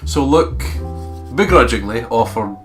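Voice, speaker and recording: A man's voice: mid-range voice, lively intonation, unhurried, over music. A man co-hosting a let's play, commenting casually with wry, joking banter. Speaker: YouTube let's play co-host.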